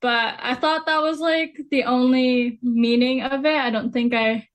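A woman's voice: high voice, steady tone, unhurried. A young woman from Kenya, a student guest, talking casually and rambling as she thinks aloud, with lots of "like". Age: young adult. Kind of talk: casual conversation.

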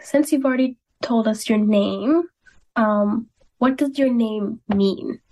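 A young woman from Thailand, hosting a student radio show. She reads a scripted intro, then asks questions casually with soft "um" fillers. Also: a little high, slow pace. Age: young adult. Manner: friendly, with soft "um" fillers when asking questions.

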